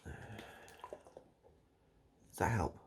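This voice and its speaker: A British man doing improvised, absurd comedy straight to the listener in a hesitant, repetitive, deadpan ramble. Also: drawn-out words, a little hiss or hum. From United Kingdom. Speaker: comedic video host. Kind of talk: improvised absurd comedy roleplay addressed to the viewer.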